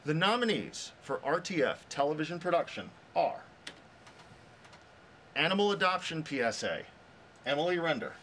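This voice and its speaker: A man's voice: on the high side, big pitch swings, normal speed, pauses often, fairly bright tone, slight background noise. A middle-aged man, a professor presenting a scripted awards show, slightly muffled by a face mask. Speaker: professor, festival director and presenter. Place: TV control room.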